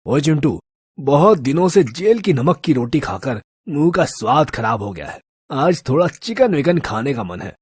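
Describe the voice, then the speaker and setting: Man acting a leering drunk thug boss, husky voice. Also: fairly high pitch, sing-song, normal speed, a little mellow, no echo, clean studio sound. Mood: swaggering. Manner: leering, drawling street slang. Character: drunk lecherous local thug boss, swaggering and menacing. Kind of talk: Hindi audio story character acting.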